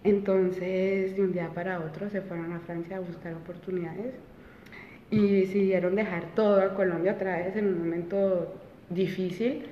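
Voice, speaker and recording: A woman's voice: on the low side, fairly even intonation, normal speed, a little hiss or hum. A woman in her twenties, an interview guest telling her own story casually, with many fillers and restarts. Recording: roomy, reverberant, dull sound.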